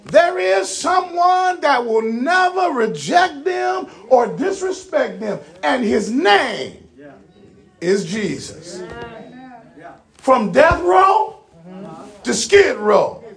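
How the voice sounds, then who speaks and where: A man preaching an animated sermon, speaking emphatically with rhetorical repetition and direct appeals to his listeners. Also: very high-pitched, very slow.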